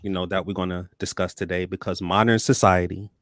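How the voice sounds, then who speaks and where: A man hosting a talk show, upbeat and warm with his guests, often repeating words as he starts sentences. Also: low voice, flat delivery, a little fast, dry recording, studio quality. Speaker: host.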